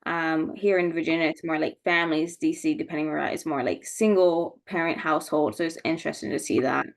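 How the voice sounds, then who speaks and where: A woman speaking as a nutritionist on an online panel, relaxed and casual, with many ums. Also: sits low, fairly quick, creaky. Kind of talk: panel discussion on video call. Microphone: video call.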